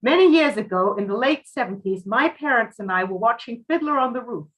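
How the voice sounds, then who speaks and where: A woman's voice: fairly high pitch, lively intonation, fairly quick, natural voice, low-quality recording. A woman voicing a scornful, insistent man in a dramatic story reading. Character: indignant man demanding his father's hometown be found.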